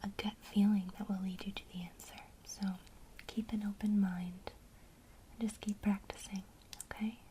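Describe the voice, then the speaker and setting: A woman teaching witchcraft basics in a soft, encouraging ASMR whisper. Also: mid-range voice, flat delivery, normal speed, slight background noise.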